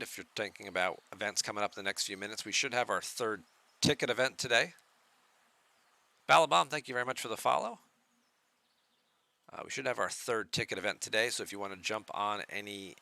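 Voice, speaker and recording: A soft-spoken man streams a game from his home setup and chats easily with viewers. His voice sits a little low in pitch but comes across very bright and forward. He talks in a relaxed, chatty way. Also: gravelly. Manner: relaxed, chatty, answers chat questions. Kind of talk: casual live-stream chat with viewers.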